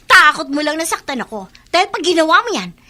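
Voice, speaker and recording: A woman's voice: high voice, sing-song, fast talker, pushed and bright, exaggerated voice. A woman playing a spoiled, scornful female student in a radio drama, haughty and accusing, with a Filipino accent. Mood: scornful, defiant. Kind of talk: Tagalog radio drama acting.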